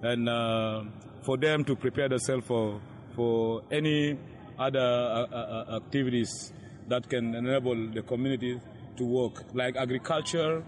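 A man's voice: medium pitch, steady tone, unhurried, slight background noise. A man, a musician answering an interview hesitantly, with many fillers.

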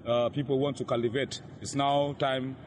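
A man's voice: medium pitch, flat delivery, normal speed, slight background noise. A man, a musician answering an interview hesitantly, with many fillers.

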